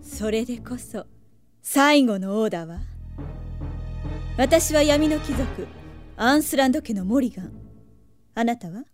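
A woman playing a proud, seductive dark-world noblewoman in an audio drama, composed and imperious. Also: fairly high pitch, expressive, unhurried, pauses often, soft background music. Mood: cool, poised. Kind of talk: Japanese audio drama acting.

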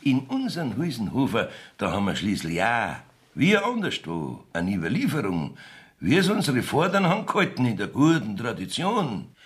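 An actor playing a blustering, evasive rustic village judge in a radio play, folksy and bossy, with a Bavarian accent. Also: male voice, medium pitch, huge pitch swings, moderate pace. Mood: defiant, grumbling. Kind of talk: 1970s dialect radio play acting.

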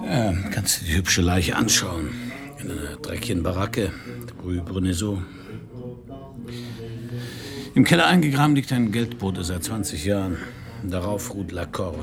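An actor playing a world-weary private detective in a German radio crime drama, terse and gravelly, sometimes hushed. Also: male voice, low voice, expressive, moderate pace, music in the background. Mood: laconic. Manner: terse, laconic. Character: world-weary male private detective, hard-boiled narrator. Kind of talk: German radio crime drama, first-person noir narration and dialogue.

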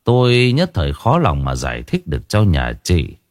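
Low slow wise old villager, gentle and measured, dry close voice, as a man puts him on. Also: wildly swooping pitch, no room sound. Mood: gentle consoling. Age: older.

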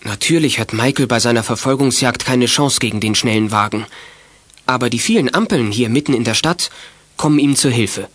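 A man's voice: mid-range voice, a bit bright, dry recording, lightly acted. A man narrating a youth radio play in a scripted storytelling style.

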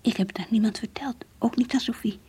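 Earnest, devout schoolgirl for radio drama: highish, very breathy, intense, confiding diary narration. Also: fast, dark tone. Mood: pensive, secretive. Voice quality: breathy, husky.

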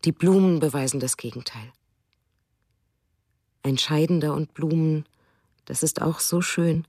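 A woman's voice: low-pitched, a little slow, warm tone, no room sound, clean studio sound. A woman reading as a tender, wistful mother. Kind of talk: reading a diary for a radio drama.

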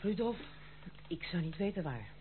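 An actress playing a dutiful, stern but kind granddaughter in an old Dutch radio play, speaking formally and gravely. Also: female voice, fairly low pitch, big pitch swings, normal speed. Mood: reserved. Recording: muffled phone-like old radio recording, faint hum.

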